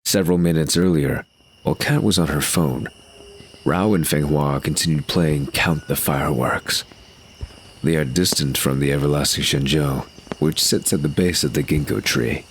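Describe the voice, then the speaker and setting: A man's voice: very deep voice, steady tone, normal speed, slight background noise. A man narrating a scripted audio drama as storyteller.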